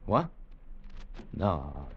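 An actor playing a nervous, testy cavalry trooper on night watch in an old-time radio drama. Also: male voice, a bass voice, huge pitch swings, drawn-out words, heavily acted. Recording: dull, faintly noisy 1950s radio recording.

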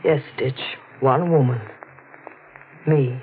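A woman acting an intense, troubled, secretive young woman in a 1940s radio melodrama, with deliberate, dramatic phrasing. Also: a bass voice, fairly even intonation. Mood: grave confession. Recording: faintly noisy, narrow-band old radio recording.

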